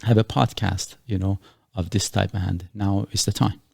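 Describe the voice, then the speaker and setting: Middle-aged man from Iran, podcast host, very low and gravelly, rambling and earnest. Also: steady tone, moderate pace, dark tone, no echo, clean studio sound. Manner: earnest, rambling explanations with many fillers. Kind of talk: casual podcast chat.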